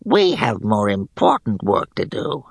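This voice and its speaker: A man voicing a huge, cruel warrior goblin leader in a fantasy audiobook, wheezing and rasping, with menacing, mocking, drawn-out words. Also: fairly low pitch, big pitch swings, no room sound, full character voice. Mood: sinister command.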